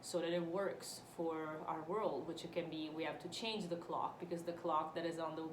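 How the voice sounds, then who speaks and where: Italian woman w accent, lowish voice, chatty and animated, roomy echo n some noise. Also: moderate pace. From Italy (Vicenza, Veneto). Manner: animated, restarts often, laughs easily. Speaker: guest, actor and film producer. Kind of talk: casual interview chat. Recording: echoey room with noticeable background noise.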